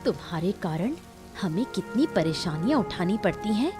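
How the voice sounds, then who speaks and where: A woman's voice: on the low side, animated, normal speed, music in the background, lightly acted. A woman voicing a cruel, quarrelsome young daughter-in-law in a cartoon melodrama, taunting and threatening harshly. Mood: scornful, angry. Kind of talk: Hindi cartoon family melodrama.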